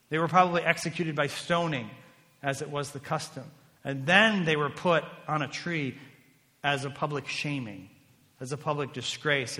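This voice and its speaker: A man preaching a sermon as a pastor, emphatic, swinging between loud declarations and hushed, intense lines. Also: mid-range voice. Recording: long hall reverb.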